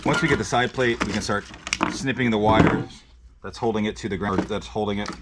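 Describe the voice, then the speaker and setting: A man's voice: lowish voice, a little fast. A man hosting a hands-on teardown video, talking to the camera in a casual, plain-spoken, explanatory way. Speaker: host of an e-waste teardown video. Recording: clear background noise with handling clicks.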